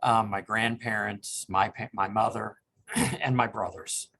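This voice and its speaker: A man's voice: fairly low pitch, steady tone, unhurried, sharp tone. A man in his sixties from Cincinnati, Ohio, a reference librarian, telling his family history in a polite, reflective way with frequent ums. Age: 60s. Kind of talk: oral history interview.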